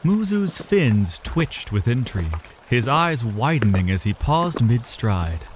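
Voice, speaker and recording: A man's voice: mid-range voice, very animated, slow pace, noisy background. A man narrating a scripted fantasy story as a literary, dramatic storyteller.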